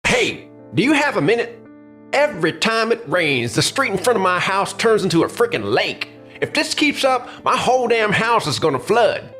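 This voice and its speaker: A man's voice: sits high, sing-song, moderate pace, pushed and bright, soft background music, exaggerated voice. A man doing an irate, coarse resident. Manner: complaining and coarse. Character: irate male neighborhood resident.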